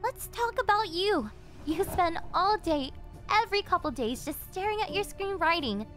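Casting: obsessive yandere kidnapper girl, audio roleplay. Very high, animated, sugary and possessive, theatrical teasing. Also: moderate pace. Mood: possessive, coaxing. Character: obsessive yandere young woman, cheerful cafe server turned kidnapper. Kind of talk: yandere ASMR roleplay acting, anime-style. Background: music.

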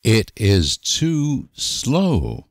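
A man reading short example sentences for language learners with deliberate, careful enunciation. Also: medium pitch, wildly swooping pitch, dry recording, studio quality. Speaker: language teacher.

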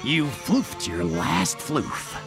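A man's voice: a little high, big pitch swings, drawn-out words, vocal fry, exaggerated voice. A man voicing a big, swaggering cartoon jungle poacher with a threatening drawl. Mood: gloating, threatening. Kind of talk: exaggerated cartoon voice acting. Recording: loud music underneath.

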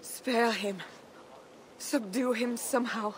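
A woman's voice: on the high side, slow, slight background noise. A devout, earnest noblewoman. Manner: calm, earnest pleading. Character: devout, composed noblewoman, young adult, gentle. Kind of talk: video game cutscene acting.

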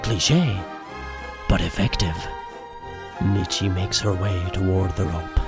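A man's voice: deep voice, slow pace, loud music behind the voice. A man giving scripted, theatrical narration for a radio play.

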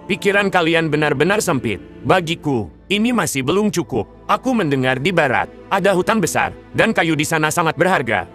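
A man's voice: on the high side, big pitch swings, a little fast, fairly bright tone, faint music behind. A man voicing an arrogant, greedy king who boasts and barks commands in a dubbed cartoon. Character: arrogant greedy middle-aged male king.

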